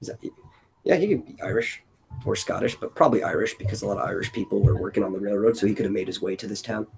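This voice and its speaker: A man's voice: lowish voice, quick pace, creaky, a little mellow, a little hiss or hum, rough recording. A man chatting casually as host of a brainstorming stream. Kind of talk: casual chat.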